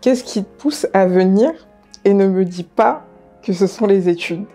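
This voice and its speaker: A woman hosting a casual interview, asking calm, direct questions. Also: mid-range voice, unhurried, faint music behind. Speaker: host.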